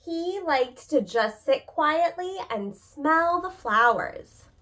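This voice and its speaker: Female preschool story reader: high register, very lively intonation, expressive delivery aimed straight at little kids. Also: takes it slowly. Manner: expressive, talks directly to young listeners with questions. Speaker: preschool teacher reading aloud. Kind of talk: animated picture-book read-aloud for young children.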